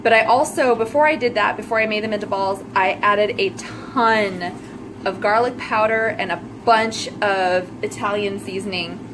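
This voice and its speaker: A woman in her thirties chatting casually as she shows a recipe in her small home kitchen, self-deprecating and quick to laugh, recorded on a phone camera held close. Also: mid-range voice, noisy background. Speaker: cooking vlogger. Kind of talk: casual cooking vlog chat.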